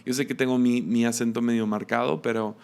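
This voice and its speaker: A man with a Mexican accent giving a reflective devotional teaching, musing aloud with restarts and soft laughs. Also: medium pitch, level tone, fairly quick, vocal fry, smooth. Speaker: preacher.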